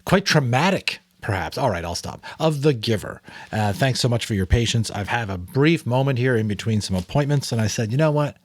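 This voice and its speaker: A man reading a story aloud dramatically as host, in an expressive, theatrical manner with casual asides. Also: medium pitch, fairly quick, hardly any pauses, dry recording. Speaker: audiobook reader and host.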